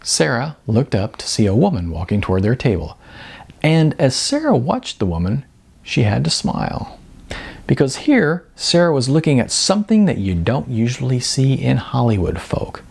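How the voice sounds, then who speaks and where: A man's voice: mid-range voice, sing-song, moderate pace, creaky, a little mellow, breathy edge. A man narrating as an author, reading from his own fiction. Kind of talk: scripted audiobook-style narration.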